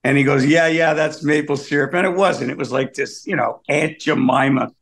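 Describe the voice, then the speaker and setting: A man's voice: on the high side, normal speed, gravelly, a bit bright. A man from Canada, a comedian guest on a video call, telling wry, complaining stories in a casual interview.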